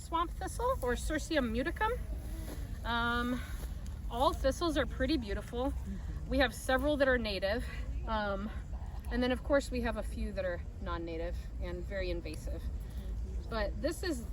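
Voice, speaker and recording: A woman's voice: on the high side, expressive, normal speed. A woman speaking informally as an ecologist explaining plants to a tour group outdoors. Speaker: ecologist guiding a nature tour. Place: outdoors in a nature preserve. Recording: noisy outdoor recording.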